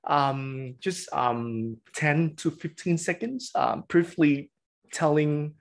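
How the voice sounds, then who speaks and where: A man hosting an online teachers' meeting, calling on each teacher in turn and filling pauses with ums. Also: on the high side, vocal fry. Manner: slow, hesitant with many fillers. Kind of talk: hosting a video call.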